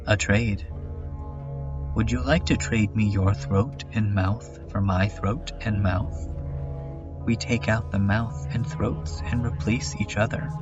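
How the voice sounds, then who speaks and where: A man's voice: on the low side, highs cut off. A man voicing an uncanny, creepily polite stranger with stilted, formal, broken phrasing in a horror comedy dub. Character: uncanny stranger making polite, creepy body-swap offers. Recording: music under the voice.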